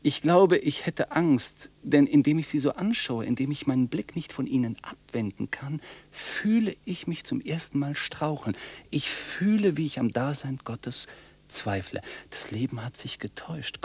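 A man playing a polite but unsettling, intense night traveller in a German radio play, speaking deliberately and theatrically. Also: a little high, lively intonation, a little mellow, slightly rough. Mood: fascinated, shaken.

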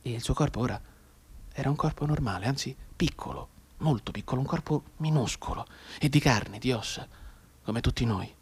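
War soldier, radio play, incantatory repetition, medium-pitched, hushed asides rising to outbursts. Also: male voice, fairly quick, husky. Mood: sorrowful, haunted. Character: male soldier telling a dead comrade's mother of war. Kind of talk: theatrical radio monologue.

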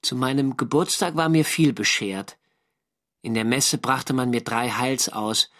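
An actor playing a German navy skipper who narrates his First World War diary in a measured, dry, literary way for a radio drama. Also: medium pitch, a bit bright. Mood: downcast.

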